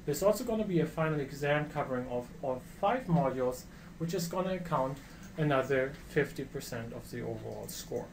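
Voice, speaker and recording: Casting: middle-aged German-accented male professor, mid-pitched, earnest lecturing, faint hiss behind. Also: animated, fairly quick, creaky, low-quality recording. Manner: earnest, with frequent fillers and restarts. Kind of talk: online course lecture.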